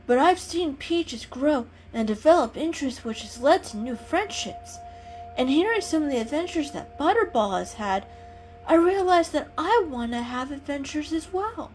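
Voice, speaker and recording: Woman voicing a timid, high little kitten. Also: animated, moderate pace, darkish tone, faint music behind, poor audio. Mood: earnest. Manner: earnest, hesitant, pleading. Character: small round tuxedo kitten, timid, earnest, eager to please.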